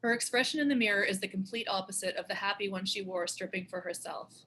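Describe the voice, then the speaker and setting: A woman's voice: medium pitch, fast, a bit bright, slight background noise. A woman narrating the stage directions of a screenplay in a scripted read.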